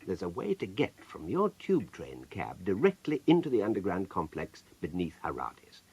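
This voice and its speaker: Confident gang leader, swooping intonation, breathy edge, radio caper comedy. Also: male voice, low voice, wildly swooping pitch, moderate pace, a little mellow, heavily acted. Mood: smug, assured. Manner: polished, self-satisfied, explanatory. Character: smooth, confident criminal mastermind planning a heist. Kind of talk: British radio comedy drama.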